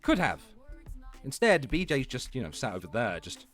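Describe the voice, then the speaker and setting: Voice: mid-range voice, sing-song, normal speed. A livestream host chatting casually and reacting, with foul-mouthed, mock-indignant joking, over music.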